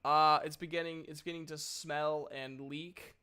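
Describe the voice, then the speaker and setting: A man running a tabletop game as game master, improvising descriptions haltingly as he searches for words. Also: on the high side, flat delivery, sharp tone, clean tone.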